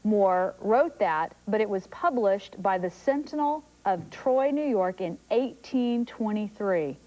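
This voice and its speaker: Woman, morning TV news anchor, mid-range pitch, presenting and chatting, old VHS broadcast recording. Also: animated, takes it slowly, warm tone, poor audio.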